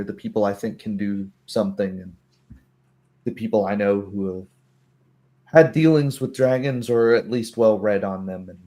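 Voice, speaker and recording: Weary, authoritative fantasy woman leader played by a man, low register, halting and deliberate. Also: mellow, lightly acted.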